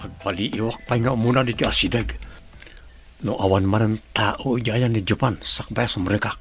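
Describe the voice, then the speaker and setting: A man's voice: fairly low pitch, fairly even intonation, moderate pace, a bit bright, faint music behind. A man acting a watchful, brooding character in an audio drama, talking as if to himself in monologue.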